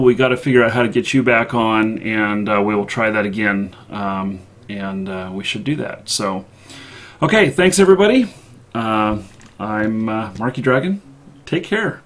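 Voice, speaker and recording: A man hosting a live online show, talking off the cuff in a halting way with many ums and uhs. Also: medium pitch. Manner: hesitant, halting delivery thick with ums and uhs. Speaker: live stream show host. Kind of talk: unscripted live show sign-off.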